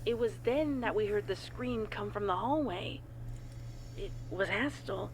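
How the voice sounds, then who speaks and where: Woman narrating over clear background noise. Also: medium pitch, a little slow. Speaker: audio story narrator. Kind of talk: scripted narration of a horror fan fiction report.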